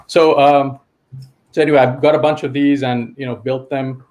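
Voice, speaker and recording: A man from Fiji speaks in a casual, enthusiastic show-and-tell style. His voice sits at an average pitch, and he talks like an eager hobbyist guest sharing something he cares about. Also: little pitch movement, normal speed, darkish tone.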